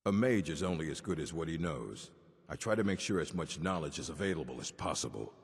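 A gruff old orc keeper of books for a video game. The voice is deep and heavily rasping, measured and dry, ringing with long reverb. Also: male voice, animated, heavily acted. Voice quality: deep, gravelly rasp. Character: gruff old male orc librarian, stern and learned. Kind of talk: video game dialogue acting. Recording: long reverb of a stone hall.

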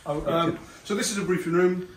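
A man's voice: sits high, fairly even intonation, a little fast. A middle-aged man giving an informal guided tour, explaining things politely. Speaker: air traffic services manager, tour guide. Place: small office rooms and stairwells. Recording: roomy, distant mic, clearly noisy.

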